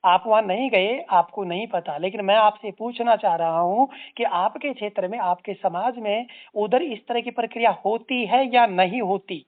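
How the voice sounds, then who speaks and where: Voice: mid-range voice, moderate pace, hardly any pauses. An activist giving a formal, stern, articulate admonishment over the phone. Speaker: anti-drug social organisation activist. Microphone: phone line. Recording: tinny narrowband phone-call recording.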